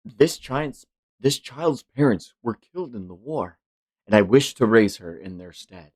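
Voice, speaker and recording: A humble knight from a manga, read by a streamer, speaking in a lowered voice. The words come formally and respectfully, with solemn deference. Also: animated, unhurried, a little mellow, rough recording. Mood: humble, earnest.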